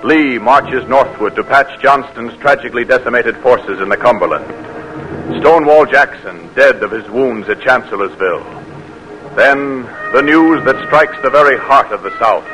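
A man's voice: medium pitch, moderate pace, fairly bright tone, rough recording. A man announcing and narrating a 1940s radio drama in a formal, stately way. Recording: announcer over music.

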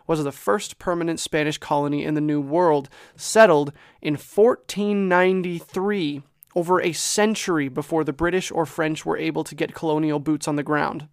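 A man's voice: on the high side, no echo. Man narrating history, close and dry. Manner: conversational, lightly self-deprecating. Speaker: history podcast host. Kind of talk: scripted history narration with casual asides.

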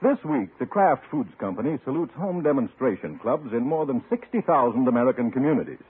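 A man's voice: mid-range voice, animated, a little fast, a little hiss or hum. A man reading a scripted public-service announcement in 1950s radio style, formal and earnest. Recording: tinny old radio broadcast.

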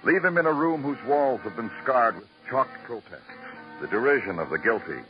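A man's voice: mid-range voice, expressive, normal speed, faint music behind, lightly acted. An American man playing a terse, brooding police lieutenant and poetic narrator in a classic radio detective drama. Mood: brooding narration. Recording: dull, lo-fi old radio recording.

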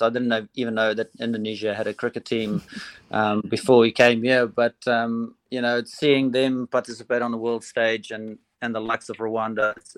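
A South African man talks in a relaxed, halting way, filling his pauses with ums. His voice stays level with little rise and fall. The sound is dry and close, with no room around it. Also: on the low side, clean tone, no room sound, poor audio. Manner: relaxed, hesitant with frequent ums. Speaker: assistant cricket coach. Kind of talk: phone interview.

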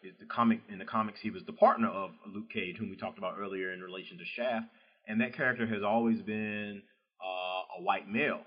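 A man's voice: lowish voice, steady tone, fairly quick. A male podcast host with a Southern US accent interviewing an author in a loose, chatty way, full of "you know" fillers. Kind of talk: casual interview chat. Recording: dull lo-fi sound.

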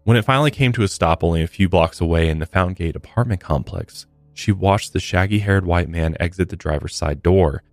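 A man's voice: sits low, brisk, few pauses, dry recording. A man co-hosting a true crime podcast, recounting case details in a casual, conversational way with blunt asides.

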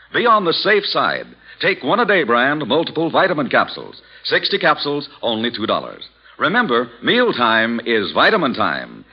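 Swooping, husky American radio announcer man. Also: medium pitch. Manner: emphatic, salesmanlike. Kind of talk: 1940s radio advertising read. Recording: dull lo-fi old radio recording.

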